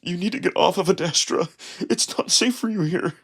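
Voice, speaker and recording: A man's voice: sits high, moderate pace, creaky, slightly rough, pitched above the performer's own voice, full character voice. A man voicing a big, tender wolf-man, pleading emotionally with a breaking, guilt-ridden voice. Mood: pleading, tearful. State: voice breaking, close to crying.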